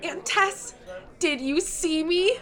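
Bright, excitable cocky kid played by a woman. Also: very high voice, takes it slowly, exaggerated voice. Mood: excited, proud. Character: excitable, cocky 13-year-old kid contestant recounting an ordeal. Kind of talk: scripted audio drama, first-person narration with acted lines.

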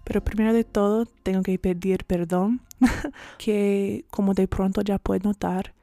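A woman's voice: mid-range voice, steady tone, unhurried, vocal fry, warm tone, no room sound, clean studio sound. A woman whose first language is English hosting a personal devotional podcast, earnest and a little self-conscious, sometimes dropping to a whisper. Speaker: podcast host.

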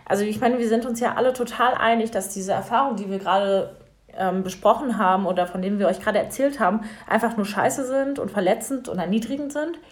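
A young woman co-hosts a radio talk show and steers the talk from topic to topic. She speaks in a relaxed, casual way with dry, wry remarks. Her voice sits in a middle pitch. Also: fast.

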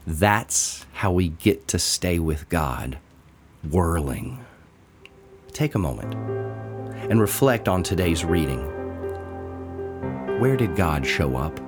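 A man with a low-pitched voice narrates a devotional. He reads slowly from a script, setting each phrase apart with deliberate pauses. The delivery is measured throughout. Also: expressive, over music. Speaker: reader of a daily devotional.

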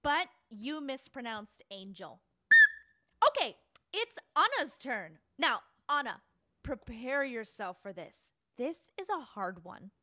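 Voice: medium pitch, sing-song, slow, bright, forceful tone, heavily acted. A woman playing a goofy, cheeky, whiny kid in sketch comedy. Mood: teasing. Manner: chanting, teasing, whiny protests. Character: goofy cheeky kid Johnny, bossed around by two girls.

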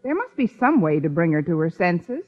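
An actress playing a wry, quick-witted schoolteacher in an old-time radio comedy, with dry, sardonic wisecracks. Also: female voice, fairly low pitch, very animated. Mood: sardonic, worried. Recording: dull vintage radio recording.

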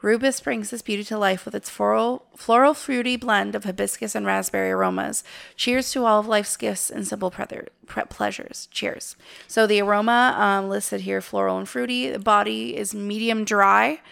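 A woman chats as a podcast co-host, her voice hoarse and raspy from a cold. Heavy vocal fry and a gravelly edge run through every phrase. She talks in a relaxed, slightly halting way, with a few fillers. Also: medium pitch. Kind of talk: casual chat.

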